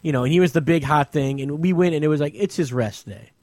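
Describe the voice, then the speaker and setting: A man's voice: fairly high pitch, fast, darkish tone, no room sound, clean studio sound. A man hosting a podcast, talking sports casually in blunt, profane language. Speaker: podcast host.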